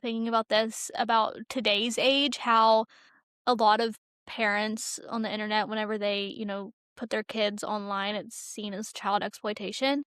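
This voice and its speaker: A woman's voice: medium pitch, steady tone, moderate pace, a bit bright, smooth, no echo, clean studio sound. A young woman co-hosting a podcast, talking casually about pop culture in chatty, slangy, informal speech. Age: young adult. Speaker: podcast co-host.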